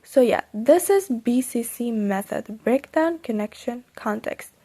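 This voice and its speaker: Young woman, Persian native speaker, upbeat teaching vlog, mellow tone, gravelly edge. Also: animated, a little slow. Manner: upbeat and chatty, with sudden bright lifts in pitch. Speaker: vlogger teaching Quran study tips. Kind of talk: casual instructional vlog.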